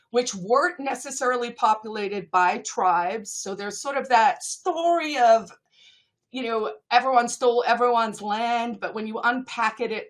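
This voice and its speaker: A middle-aged woman from South Africa, a native Afrikaans speaker with a South African accent, talking as an interview guest in a lively, animated way, laughing between points. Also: a little high. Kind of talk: conversational interview storytelling.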